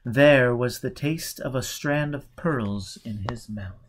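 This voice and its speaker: A man's voice: medium pitch, slow, a little hiss or hum. Man reading a story dramatically. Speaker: amateur audiobook reader. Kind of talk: dramatic scripted narration of a novel.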